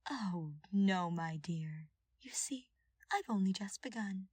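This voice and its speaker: A woman voicing a smug, mocking female royal advisor who gloats in a drawn-out, scheming way in an audiobook-style story. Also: medium pitch, animated, a little mellow, husky, dry recording, clean studio sound. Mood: gloating.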